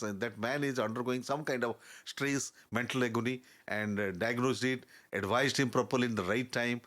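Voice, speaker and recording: Older man, halting storyteller. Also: medium pitch, fairly bright tone. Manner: halting, searching for words, earnest and advising. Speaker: interview guest. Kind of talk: reflective storytelling in conversation.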